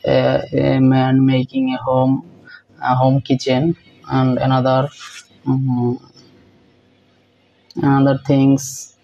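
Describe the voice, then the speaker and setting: Flat-voiced man with a gravelly tone. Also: medium pitch, lo-fi sound. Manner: repetitive, halting non-native English with fillers. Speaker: reaction video host. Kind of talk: casual reaction commentary. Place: indoors, small room.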